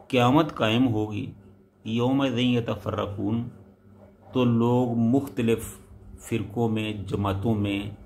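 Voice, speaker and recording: A man preaching a sermon. He recites a verse, then explains it in short, measured phrases that rise for emphasis. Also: medium pitch, darkish tone, slight background noise.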